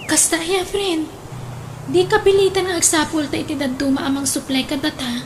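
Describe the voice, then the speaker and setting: High-pitched, practical young Ilocano woman, played by an actress. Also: steady tone, moderate pace, lightly acted. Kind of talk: radio drama acting. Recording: faint background noise.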